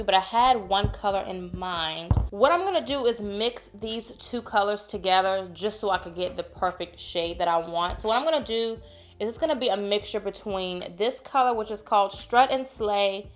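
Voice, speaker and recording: A woman, chatting playfully through a makeup tutorial, sounding a bit congested. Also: mid-range voice. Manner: chatty, playful, addresses viewers as y'all. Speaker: beauty vlogger. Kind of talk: casual makeup tutorial chat. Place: home room, indoors.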